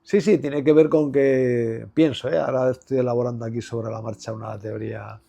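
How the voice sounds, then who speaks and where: A man's voice: medium pitch, steady tone, normal speed, vocal fry, mellow. A man in his sixties from Madrid with a Madrid Spanish accent, a former athlete speaking as an interview guest in a reflective, rambling way with many fillers and restarts. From Spain. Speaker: guest, former basketball player. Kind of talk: relaxed conversational interview.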